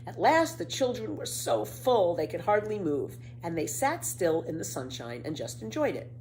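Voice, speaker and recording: Casting: female children's storyteller, slightly low voice, very lively intonation, careful expressive reading, some background noise. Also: normal speed, poor audio.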